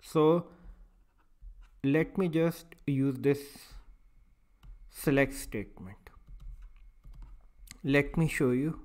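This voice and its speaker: A man instructing step by step in a screencast tutorial, speaking in halting, piecemeal phrases and often checking in with an okay. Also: fairly high pitch, a little mellow. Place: voice-over for a screen recording.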